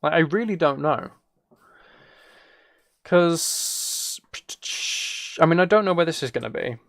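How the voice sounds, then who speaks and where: A man's voice: on the high side, animated, slow pace, no room sound, studio quality. A man chatting casually while he plays a game, thinking aloud in a rambling, playfully self-mocking way. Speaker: streamer.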